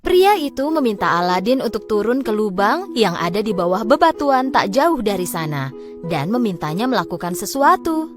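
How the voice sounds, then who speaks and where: Woman reading a fairy tale, really animated n dramatic, highish voice, music under it. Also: normal speed. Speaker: storyteller. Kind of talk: scripted fairy tale narration.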